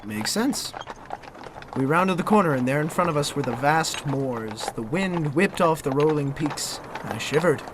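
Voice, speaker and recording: A man's voice: a little high, animated, measured pace, noisy background. A young man playing a polite, bemused narrator of a comic gothic audio drama, speaking formally. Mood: curious, narrating. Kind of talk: scripted first-person narration and comic drama acting.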